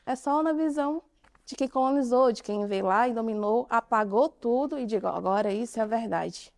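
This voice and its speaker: A woman's voice: fairly high pitch, creaky. Emphatic Brazilian woman addressing an audience. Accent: Brazilian Portuguese. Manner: engaging, questions the audience, emphatic pauses. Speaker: conference speaker. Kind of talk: interactive conference talk.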